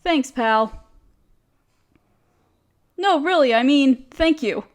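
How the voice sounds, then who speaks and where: A woman's voice: high-pitched, animated, very slow, exaggerated voice. A woman playing an overworked, apologetic young woman confiding hesitantly in a close friend in an intimate audio roleplay. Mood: grateful. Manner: self-deprecating, hesitant, casual. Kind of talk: roleplay audio drama, intimate one-sided conversation.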